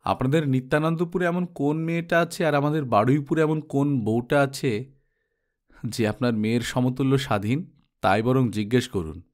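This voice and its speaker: A man's voice: medium pitch, normal speed, close to an everyday voice. A man voicing an aggrieved son-in-law in a storybook, grave and sarcastic as he complains. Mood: sardonic grievance.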